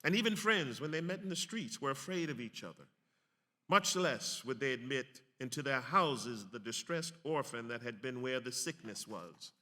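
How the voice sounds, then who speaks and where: A man's voice: mid-range voice, animated, normal speed, pushed and bright. A man giving a grave, declamatory dramatic reading of a historical text, as an actor on a stage. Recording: echoey hall with long reverb.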